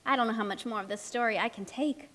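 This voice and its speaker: A woman's voice: a little high, quick pace, pushed and bright. A woman playing a chatty, anxious storybook narrator, speaking expressively and emphatically in a children's puppet show. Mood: fretful.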